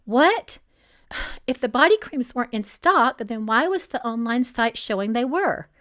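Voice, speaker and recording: A woman voicing a tough businesswoman in her late twenties in an audiobook, firm, brisk and exasperated. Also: a little high, animated, fairly bright tone.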